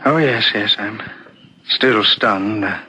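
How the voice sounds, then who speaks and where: Casting: mild, halting man, low but bright voice, noisy old radio drama. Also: full character voice. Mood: stunned, subdued. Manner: hesitant, halting. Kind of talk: 1970s radio drama acting. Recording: noisy 1970s radio recording.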